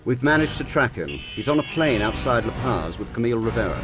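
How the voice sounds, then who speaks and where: Actor as efficient male spy agency officer, medium pitch, matter-of-fact, video game cutscene, loud music. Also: big pitch swings, brisk, lightly acted. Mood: focused. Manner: matter-of-fact, crisp reporting. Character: brisk, efficient male intelligence chief of staff. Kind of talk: video game cinematic voice acting.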